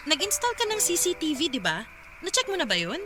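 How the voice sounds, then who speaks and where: A woman's voice: sits high, wildly swooping pitch, brisk, sharp tone, soft background music, exaggerated voice. An actress playing a blunt, practical but jumpy young woman in a Filipino radio drama.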